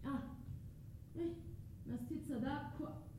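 An abandoned emigrant wife in a radio play, mellow in voice and bitterly yearning. Her southern Italian accent colours a halting, dramatic monologue that circles back on its words. The sound is roomy, with a faint rumble of noise behind it. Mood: wistful, weary.

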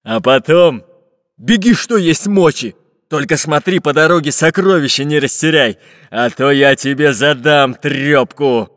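A man voicing a fat, bossy male pirate captain who talks in a slow, blustering, threatening way. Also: on the high side, expressive, no room sound, clean studio sound, big-room sound, exaggerated voice.

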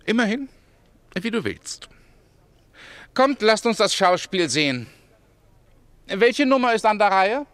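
An actor playing a haughty, capricious and blithely cruel Roman emperor in a radio play, with a pompous, airy manner. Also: male voice, high-pitched, very animated, slow pace, pauses often, a bit bright, dry recording, heavily acted. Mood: haughty, amused. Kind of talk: theatrical German radio drama acting.

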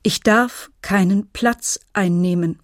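An actress playing a middle-aged, troubled mother, narrating a radio drama in a measured, introspective way. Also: mid-range voice, slight background noise, natural voice. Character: troubled, watchful mother telling her story. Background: music.

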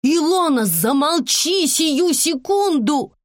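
A woman's voice: on the high side, big pitch swings, normal speed, darkish tone, husky, dry recording, clean studio sound, pitched above the performer's own voice. A woman voicing an overwrought, haughty, snappish mother in an audiobook, demanding and exasperated. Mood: hysterical anger.

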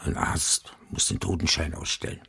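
An actor in a radio play as a chatty, unruffled, slightly odd postman, husky and gravelly, with a dry, matter-of-fact manner. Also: moderate pace, bright, forceful tone, lightly acted. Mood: sober. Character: chatty, odd, unruffled male letter carrier. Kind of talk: radio drama acting.

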